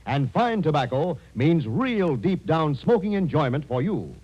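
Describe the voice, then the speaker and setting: A man's voice: on the high side, big pitch swings, unhurried, heavy background noise. A man announcing a commercial with emphatic sales delivery in 1940s radio style. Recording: dull, band-limited old radio broadcast.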